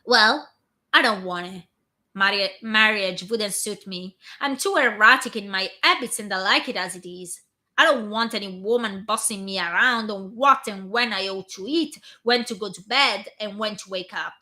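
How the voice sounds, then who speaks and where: A stubborn young bachelor, blunt and dismissive of any talk of marriage, voiced by a hoarse woman in her own voice. The tone stays bright and forward. The pitch sits in a middle range. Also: normal speed.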